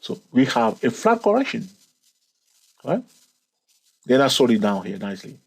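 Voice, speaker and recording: A man's voice: medium pitch, huge pitch swings, normal speed, creaky, husky, poor audio. A man hosting his channel, walking through a chart lesson, stretching key words and repeating counts. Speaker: trading educator and channel host. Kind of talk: screen-recorded chart lesson.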